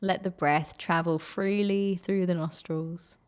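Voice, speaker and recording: A woman leading a guided meditation in a gentle, soothing, unhurried manner with long pauses. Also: lowish voice, steady tone, clean tone.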